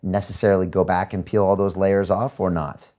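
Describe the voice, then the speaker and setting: Deep-voiced man hosting an interview. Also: flat delivery, brisk, darkish tone. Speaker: interview show host. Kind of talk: conversational interview.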